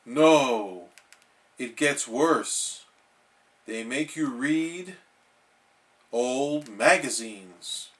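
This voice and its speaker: Guy playing a goofy dim cartoon starfish, slow and emphatic, bright tone. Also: mid-range voice, lively intonation. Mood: ominous.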